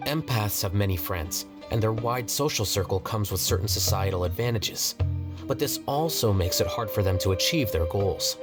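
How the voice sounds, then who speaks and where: An actor playing an aloof, rational young scholar in a video game, speaking in a measured, formal and detached way. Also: male voice, on the low side, expressive, over loud music, lightly acted. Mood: detached, lecturing.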